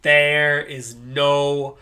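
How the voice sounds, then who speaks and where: A man's voice: fairly high pitch, flat delivery, drawn-out words, pushed and bright. A young man giving joking, mock-indignant game commentary with a co-host. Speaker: Let's Play host.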